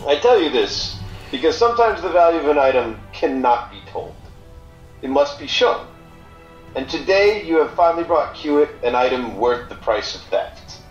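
A man playing a cryptic alien fence and trader in an audio drama, speaking in halting, deliberate riddles. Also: a little high, very animated, reverberant, highs cut off, faint music behind. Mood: mysterious, scheming.

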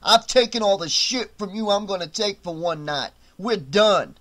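A man acts out a bullying hotel supervisor who snarls threats at his staff. The voice sits high and hard-edged, and every line is pure contempt. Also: lively intonation, moderate pace, a bit bright. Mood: threatening. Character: menacing brutish male hotel supervisor, bullying.

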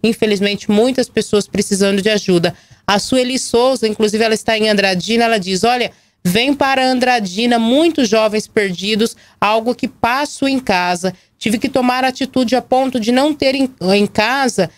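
A Brazilian woman in her thirties presenting live local news, her voice hoarse from a cold. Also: fairly high pitch, moderate pace, no room sound. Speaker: TV and radio news anchor. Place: small indoor news studio. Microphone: gooseneck desk microphone.

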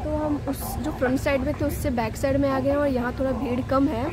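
A woman's voice: sits high, steady tone, brisk. A young woman, a travel vlogger, chatting casually to camera and mixing English phrases into her talk, recorded on a phone while on the move. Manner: chatty, mixes English into Hindi, frequent fillers. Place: on the move: train, hotel room, outdoor park and lakeside street. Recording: phone recording with traffic and street noise.

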